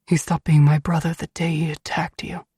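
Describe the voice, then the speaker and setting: A woman's voice: low voice, little pitch movement, moderate pace, warm tone, husky, dry recording. A woman voicing a brooding, protective, dangerous husband who speaks in a blunt, commanding way in an audiobook. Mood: hungry.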